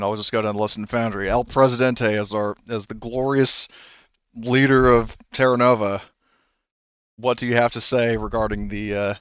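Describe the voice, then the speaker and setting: A man acting as game master for a tabletop roleplaying game, joking and laughing as he keeps the scene moving. His voice is smooth and resonant. It comes through a dull, muffled mic that sounds close and dry. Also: mid-range voice, dry recording. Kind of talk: running a tabletop game over voice chat.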